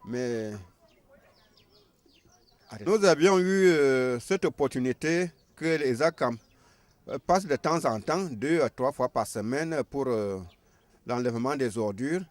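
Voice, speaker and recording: An older man with a Cameroonian French accent speaking as a local resident, halting and repeating himself with many fillers. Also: medium pitch, lively intonation, no echo. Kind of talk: interview statement. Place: outdoors in a neighborhood.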